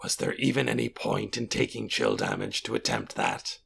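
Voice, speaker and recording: Fantasy audiobook monster guardian: very gravelly, forceful, proud and coldly disdainful, formal delivery. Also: male voice, lowish voice, huge pitch swings, a little hiss or hum, heavily acted. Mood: scornful, measuring. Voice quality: raspy, gravelly, harsh. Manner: deliberate, haughty, formal. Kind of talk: audiobook character voice.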